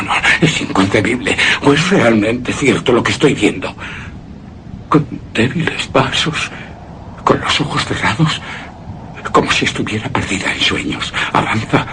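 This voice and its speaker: An actor playing a terrified, anguished widower in a gothic horror radio drama, speaking theatrically in halting, repeated phrases. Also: male voice, fairly low pitch, background noise. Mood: astonished, fearful. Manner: halting, theatrical, repeats words, breaks into hushed gasps. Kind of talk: Spanish-language horror radio drama monologue.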